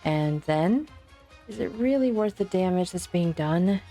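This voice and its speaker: A woman voicing a principled, resolute physician in an amateur fan dub, speaking in an earnest, deliberate way. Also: lowish voice, lively intonation, warm tone, faint music behind. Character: principled, observant female physician, calm and resolute.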